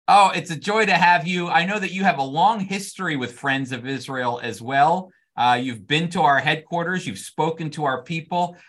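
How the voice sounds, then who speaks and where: A man hosting an interview, friendly and halting with fillers. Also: a little high, fairly bright tone. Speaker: podcast host. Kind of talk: interview conversation.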